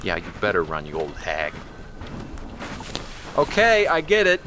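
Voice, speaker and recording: An actor playing an anxious, protective young husband in an audio horror drama, placating and exasperated. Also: male voice, low-pitched, wildly swooping pitch, unhurried, heavy background noise, heavily acted. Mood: angry, exasperated. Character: anxious, protective young husband soothing his panicking wife.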